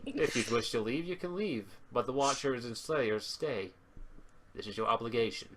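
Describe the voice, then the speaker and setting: A man playing a secretive, terse informant in a tabletop game, speaking in a clipped, portentous, deliberate way. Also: medium pitch, lively intonation, crowd noise, lightly acted. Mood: curt, stern. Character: secretive, terse, stern male informant briefing monster hunters.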